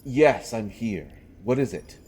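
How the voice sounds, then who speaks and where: Male actor for deep-voiced fantasy roleplay royal interrogator, secretly protective, earnest, pleading urgency. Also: expressive, slow, darkish tone, slightly rough, heavily acted. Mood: tense, guarded. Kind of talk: dramatic fantasy audio roleplay addressed to the listener.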